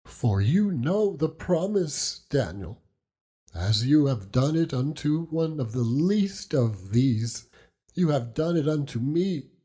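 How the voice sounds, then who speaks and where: A man's voice: a little high, expressive, takes it slowly, mellow, slightly rough, voice pushed up, heavily acted. A man voicing a humble, grieving widow in an audiobook, speaking tender rustic dialect as she pleads and consoles. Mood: tearful, tender pleading. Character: grieving, self-reproaching old widow woman, humble and tender. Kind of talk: dramatised audiobook character reading.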